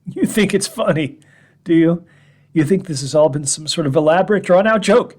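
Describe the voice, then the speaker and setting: A man's voice: a little high, normal speed, natural voice. A man playing a shaken, bitter storyteller. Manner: bitter, agitated, swinging from loud outbursts to hushed whispers. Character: shaken man addressing a room of gentlemen. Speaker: narrator. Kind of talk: first-person horror story reading.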